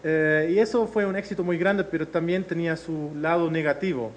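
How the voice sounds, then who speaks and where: A man's voice: fairly high pitch, steady tone, fairly quick, a little hiss or hum. A man from the Netherlands, a native Dutch speaker with an accent between Chilean Spanish and Dutch, giving a lecture presentation full of "eh" hesitations. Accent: mix of Chilean Spanish and Dutch. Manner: frequent hesitations with "eh". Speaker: speaker presenting a case study.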